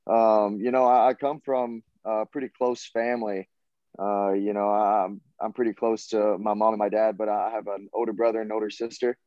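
A young man in his twenties with a Southern US accent, answering interview questions in an easygoing, halting way with many fillers. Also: on the low side, level tone, no echo, highs cut off. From Tennessee, USA. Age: 20s. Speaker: college baseball player guest.

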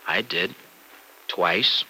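A man playing an earnest, conflicted young married man in a 1940s radio soap, speaking emphatically and haltingly in colloquial phrases. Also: lowish voice, big pitch swings, creaky, pushed and bright, full character voice. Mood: tense, pleading. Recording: noisy vintage radio drama recording.

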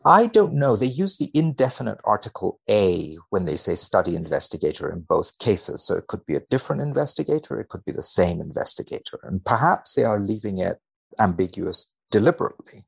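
Man, research guest, low husky voice, precise dry delivery, measured reading and commentary. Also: sing-song. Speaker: podcast guest, biomedical researcher. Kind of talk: dramatic reading of a study, considered commentary.

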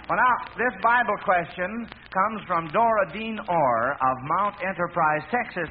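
A man hosting an old radio quiz with children in a folksy, encouraging manner, repeating their answers. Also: fairly high pitch. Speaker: quiz host. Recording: tinny, hissy old radio broadcast.

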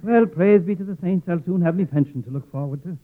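Male actor as blustery Irish policeman, middle-aged, hefty, high and animated, 1940s radio comedy, tinny band-limited sound. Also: quick pace, lightly acted. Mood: grumbling.

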